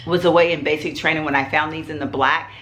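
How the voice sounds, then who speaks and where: A woman's voice: deep voice, steady tone, fast talker, fairly bright tone, slight background noise. A middle-aged woman talks casually to her viewers from home. She is fighting a cold, and her talk rambles along with plenty of fillers and asides. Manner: rambling, chatty, many fillers. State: sick with a cold. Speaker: vlogger host. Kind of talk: casual chat to camera.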